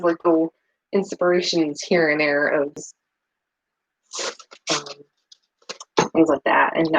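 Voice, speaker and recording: A woman's voice: fairly low pitch, lo-fi sound. A middle-aged woman from New England chatting casually in her home kitchen while she makes soap, rambling with lots of fillers. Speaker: soap maker and vlogger.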